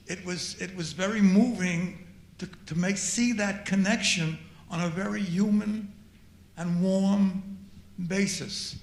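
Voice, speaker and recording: A man's voice: high voice, steady tone, takes it slowly. An older man in the audience of a screening Q&A, giving a reflective comment and often repeating words as he searches for them. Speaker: audience member. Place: auditorium after screening. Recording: echoey hall with faint noise.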